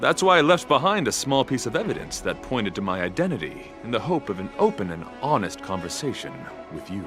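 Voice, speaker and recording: Lively, courtly knight voiced by a man. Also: mid-range voice, brisk, few pauses, over music, close to an everyday voice. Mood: courteous, calm. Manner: courtly, flowery, formal diction. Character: chivalrous, earnest young male knight devoted to beauty. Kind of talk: theatrical video game voice acting.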